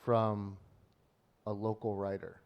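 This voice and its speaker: A man's voice: on the low side, flat delivery, slow pace, gravelly, muffled recording. A man co-hosting a podcast, teasing and quizzing his friend in casual banter. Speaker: podcast co-host.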